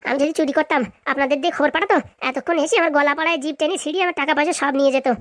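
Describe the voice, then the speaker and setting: High, rapid-fire cheeky villager, acted by a woman. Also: steady tone, no echo, studio quality, lightly acted. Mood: indignant, sarcastic. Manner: argumentative, sarcastic, long-winded. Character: cheeky, indignant villager accused of theft, talking back. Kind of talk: comic cartoon dialogue.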